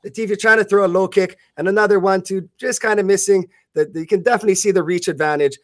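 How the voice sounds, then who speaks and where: A man's voice: high voice, fairly even intonation, quick pace, no room sound, clean studio sound. A man giving live radio play-by-play of a fight as commentator, often stumbling and correcting himself mid-phrase.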